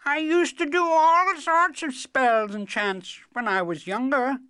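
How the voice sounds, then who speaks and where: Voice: high voice, measured pace, sharp tone, dry recording, pitched above the performer's own voice. A storyteller voicing a nervous, forgetful old wizard who hesitates and stammers in a muddled way. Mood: muddled, apologetic. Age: older.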